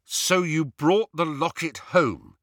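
Performer playing a forceful, insistent teenage boy. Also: medium pitch, very animated, unhurried, dry recording, clean studio sound, voice pushed up. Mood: relentless, challenging. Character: teenage boy wizard, relentless and indignant. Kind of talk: audiobook character acting.

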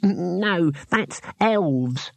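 A man voicing a small, cautious male gnome in a fantasy audiobook, speaking slowly and hedging. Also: high voice, expressive, creaky, dry recording, pitched above the performer's own voice, exaggerated voice. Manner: slow, hesitant, hasty to qualify.

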